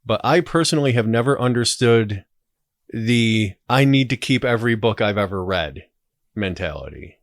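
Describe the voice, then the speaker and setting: A man's voice: on the low side, lively intonation, moderate pace, no room sound, clean studio sound. A man in his forties talking casually as a podcast guest, reflective and opinionated. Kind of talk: casual conversational interview.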